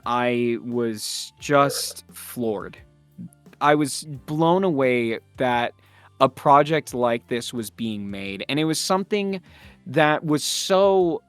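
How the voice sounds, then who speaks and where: A man's voice: mid-range voice, clean tone. A man talking enthusiastically in an interview as a voice actor, effusive and emphatic.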